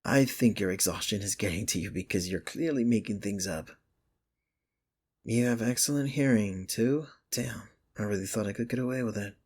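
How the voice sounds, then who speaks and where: A man playing a teasing, flustered office rival smitten with the listener, in an intimate ASMR romance roleplay with a husky, gravelly voice. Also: fairly low pitch, normal speed, darkish tone. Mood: defensive, flustered.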